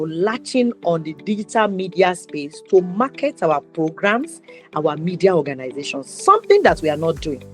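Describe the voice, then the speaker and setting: A woman with a Nigerian accent hosting an interview show, speaking in deliberate, emphatic phrases and posing rhetorical questions. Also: on the low side. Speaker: talk show host.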